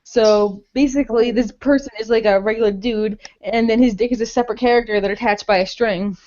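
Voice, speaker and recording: Young female podcast co-host, high register, gushing and slangy, muffled voice-chat sound. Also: fairly even intonation, a little fast, a little mellow. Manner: gushing, enthusiastic; sweary, slangy.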